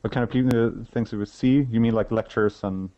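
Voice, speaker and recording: A man's voice: on the low side, fairly even intonation, no room sound. A man with a Swedish accent, giving a hesitant, conversational phone interview as an event organizer. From Sweden. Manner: hesitant, halting. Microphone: telephone. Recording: muffled telephone line.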